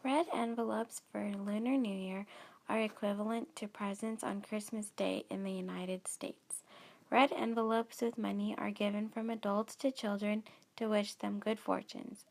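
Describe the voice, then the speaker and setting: A woman reading scripted narration for a student documentary in a steady, measured way. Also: mid-range voice, gravelly.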